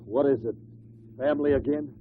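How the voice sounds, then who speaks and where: An actor plays a reasonable, concerned business partner in a vintage radio play. His mid-pitched voice is earnest and probing, on a tinny recording with a faint hiss. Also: fairly even intonation, brisk, close to an everyday voice. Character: worried, reasonable male business partner and friend. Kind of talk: 1950s radio drama acting.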